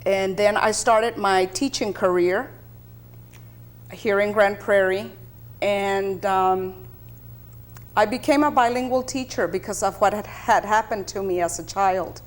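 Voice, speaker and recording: A woman from South Texas with a medium-pitched voice speaks as an interview guest. She is a bilingual teacher whose native language is Spanish. She tells personal recollections in a measured, deliberate manner. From South Texas, United States.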